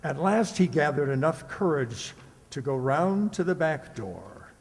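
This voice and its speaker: A genial storytelling uncle, played by a man for a children's radio play. His pitch is fairly high and moves widely, and the hall adds long reverb. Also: lively intonation, measured pace, creaky, darkish tone, slight background noise. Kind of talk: old-time radio storytelling.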